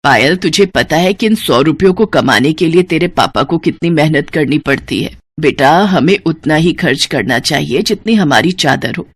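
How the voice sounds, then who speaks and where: A woman voicing a patient middle-aged mother in a cartoon moral story, gently lecturing and mildly reproving. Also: sits low, lively intonation, moderate pace, hardly any pauses, slightly rough, no echo, pitched below the performer's own voice.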